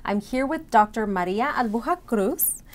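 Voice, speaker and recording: A woman's voice: a little high, animated, normal speed. A middle-aged woman interviewing a guest with semi-scripted questions. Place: indoor event room with banner backdrop.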